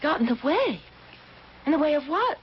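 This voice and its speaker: An actress playing an earnest, polite and anxious young job seeker in a radio drama, hesitant, apologetic and eager. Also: female voice, sits high, big pitch swings, normal speed. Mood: sympathetic. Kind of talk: old-time radio drama acting. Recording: muffled, noisy old radio broadcast.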